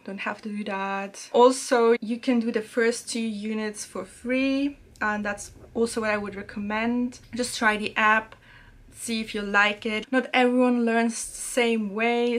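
A woman's voice: mid-range voice, measured pace. A young woman talking casually and chattily from a small room at home, reviewing a language app and filling her sentences with little fillers. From Serbia. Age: young adult. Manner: chatty, relaxed, many fillers. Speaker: language learning YouTuber.

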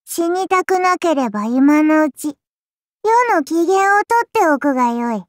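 A woman's voice: sits high, expressive, unhurried, dry recording, studio quality. A woman playing a childlike, playful serpent-god oni in an anime game, speaking in a haughty, archaic lordly manner with indulgent teasing. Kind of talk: anime game character voice lines.

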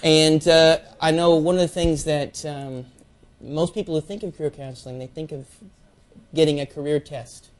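A man's voice: fairly high pitch, fairly even intonation, normal speed. A middle-aged man hosting a campus TV interview show, asking questions in a playful, joking way from a studio desk. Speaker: talk show host. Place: small TV studio set, seated at a desk.

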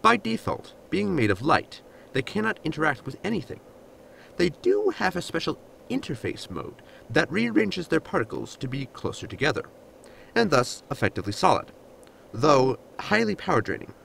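A man's voice: fairly high pitch, huge pitch swings, moderate pace, gravelly, a bit bright, slight background noise, heavily acted, poor audio. A man playing a blunt, literal android servant in a sci-fi comedy audio drama, formal and matter-of-fact. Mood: matter-of-fact explaining.

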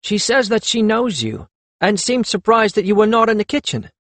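A man's voice: sits high, expressive, fairly quick, dry recording, pitched above the performer's own voice. A man voicing a haughty palace servant with a prim, formal, disdainful manner. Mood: sniffy, puzzled.

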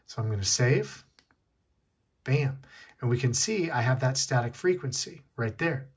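Male tech tutorial host, a bit husky, casual step-by-step delivery. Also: mid-range voice, lively intonation, unhurried. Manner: step-by-step explaining, repeating key points. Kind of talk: casual hands-on demonstration. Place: indoors at a wooden desk.

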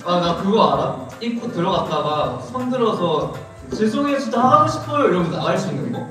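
A young man with a fairly high voice chats and jokes among friends, picked up from a distance in a very echoey room. Music plays behind him. His talk is quick and playful, full of imitations. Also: very animated, a bit bright. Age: young adult. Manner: playful, chatty, imitating phrases. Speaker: group member. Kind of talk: casual chat on a livestream. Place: small room at a long table with other members. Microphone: far camera.

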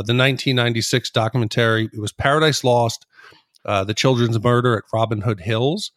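Man, medium pitch, podcast host, explanatory recap talk. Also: dry recording, studio quality.

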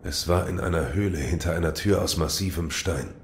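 An actor playing a stoic, loyal shinobi warrior in an audio drama, terse and solemn. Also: male voice, low voice, brisk. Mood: earnest, reserved. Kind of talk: German audio drama acting.

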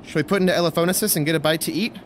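A man's voice: fairly high pitch, very fast, a little hiss or hum, natural voice. Man playing an earnest young nobleman officer. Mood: tender. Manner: courteous, formal, earnest. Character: earnest young Roman nobleman turned army captain. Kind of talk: audio drama acting.

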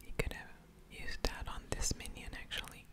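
A woman whispering ASMR commentary over a card game, thinking aloud with pauses and soft mouth sounds.

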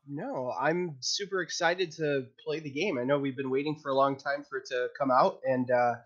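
A man's voice: medium pitch, a bit bright. A man chatting casually as a player in an online tabletop game. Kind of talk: casual chat. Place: online group call. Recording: dull lo-fi online call.

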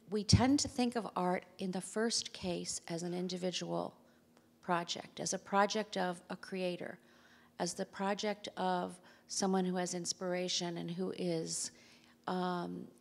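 A woman's voice: on the low side, gravelly. A middle-aged woman speaking on a panel to a theater audience through a handheld mic, reflective and thinking aloud as she goes. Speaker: researcher on a panel. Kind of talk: panel talk. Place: theater stage before a seated audience. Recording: long reverb of a theater hall.

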